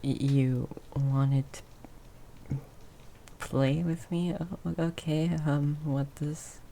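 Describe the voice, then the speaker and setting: A woman voicing a tiny timid mouse person in an improvised ASMR roleplay, soft and breathy, stammering nervously and often slipping into a whisper. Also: deep voice, steady tone, full character voice. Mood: shy, nervous. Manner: nervous stammering, halting and repeating words. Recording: faint background noise with rain ambience. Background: rain sounds.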